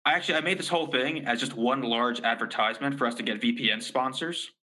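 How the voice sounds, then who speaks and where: A man with a very bright, sharp voice, chatting casually as a comedy writer. He is joking and a little self-deprecating about his work. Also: mid-range voice, fast, poor audio. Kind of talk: casual chat.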